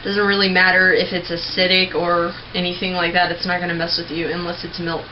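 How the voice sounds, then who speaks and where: Young woman rambling thru a diy screaming lesson, lots of throat clearing, lo-fi recording. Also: fairly low pitch, level tone, brisk. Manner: chatty, rambling, with many filler words and throat clearing. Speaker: screaming vocal tutor. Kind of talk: casual home tutorial video. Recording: dull lo-fi home recording with faint noise.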